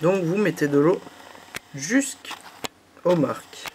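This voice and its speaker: Young guy w/ a bit of a cold, talks real slow, walks you through each step, lots of fillers. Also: on the high side, a little mellow, a little hiss or hum, rough recording. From France. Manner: patient, talking viewers through each step with many fillers. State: stuffy, slight head cold. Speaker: YouTuber. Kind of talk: step-by-step candy kit tutorial.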